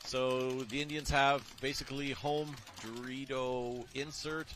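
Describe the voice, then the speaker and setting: A man's voice: mid-range voice, level tone, a little slow, fairly bright tone. A man commenting live on a paintball match from a booth, chatty and joking with his co-host.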